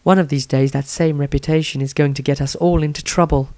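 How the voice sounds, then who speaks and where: A man's voice: fairly high pitch, steady tone, a little fast, a little mellow, dry recording, voice pushed down, lightly acted. A composed, observant woman voiced by a man reads from her diary in a fantasy story. The phrasing is formal and careful, and the sound is dry. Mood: composed, puzzled. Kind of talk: in-character fantasy audiobook diary.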